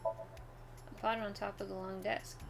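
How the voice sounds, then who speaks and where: Slow-paced, creaky-voiced woman streaming a game. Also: medium pitch, level tone, heavy background noise. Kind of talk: casual let's play chat, reading game text aloud. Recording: game music behind the voice. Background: game music.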